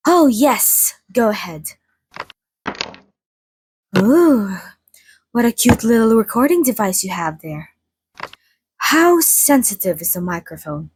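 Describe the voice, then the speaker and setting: A woman voicing a playful, theatrical century-old female vampire in a chatty, mock-formal ASMR roleplay monologue, with a soft, breathy voice. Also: fairly high pitch, sing-song, slow pace, pauses often, a little hiss or hum. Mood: coy, friendly. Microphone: close studio microphone, dry.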